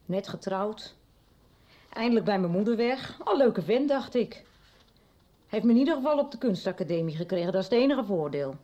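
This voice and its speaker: A middle-aged woman playing a guarded, worried mother in a radio soap, speaking in a hesitant, halting way. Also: mid-range voice, animated, dark tone, close to an everyday voice. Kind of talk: radio drama acting.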